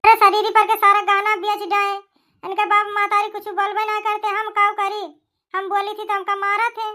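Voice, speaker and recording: A woman's voice: very high voice, monotone, normal speed, pushed and bright. A woman playing a long-suffering, plaintive village wife in a comic cartoon, complaining and pleading in an Awadhi accent. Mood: weary lament. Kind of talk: exaggerated cartoon comedy dub.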